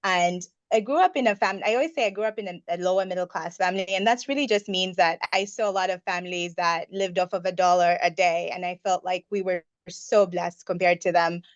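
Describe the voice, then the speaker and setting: Low-voiced woman, muffled dry sound. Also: fairly quick, hardly any pauses, no echo. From Uganda. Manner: earnest, reflective, detailed. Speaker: guest, investment partner. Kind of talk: personal storytelling in a podcast interview. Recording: slightly muffled dry recording.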